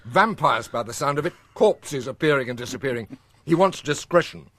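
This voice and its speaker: A man playing a brisk, drily amused police chief in a radio drama, with deadpan, offhand authority. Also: medium pitch.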